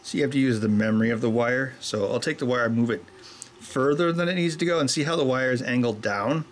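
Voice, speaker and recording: A man's voice: medium pitch, a little fast, slight background noise. A middle-aged man at a workbench, explaining his soldering in a chatty way and drifting into joking tangents. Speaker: electronics maker and show host. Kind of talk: casual explanation while working.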